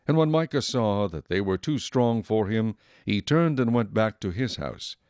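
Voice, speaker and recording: A man reading scripted Bible narration in a measured, solemn storytelling manner. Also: on the low side, darkish tone, dry recording, studio quality. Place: dry studio.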